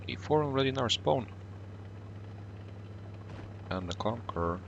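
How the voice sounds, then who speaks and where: A man from Poland with a Polish accent, whose first language is Polish, calmly commenting on his game for a live stream and greeting viewers in chat. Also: mid-range voice, gravelly, dull audio, noisy background. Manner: calm, unhurried, greets chat viewers between plays.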